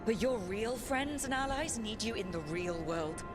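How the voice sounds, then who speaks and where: A woman's voice: a little high, lively intonation, measured pace, music in the background. A woman playing a steadfast, earnest, determined heroine in dramatic video game dialogue. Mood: earnest, encouraging.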